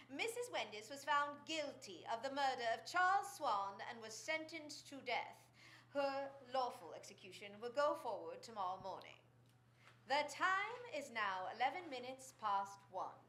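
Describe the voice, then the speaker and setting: An actress playing a formal radio newsreader, delivering a scripted bulletin in a crisp announcing manner within a drama. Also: female voice, high-pitched, fairly bright tone, echoey room, a little hiss or hum.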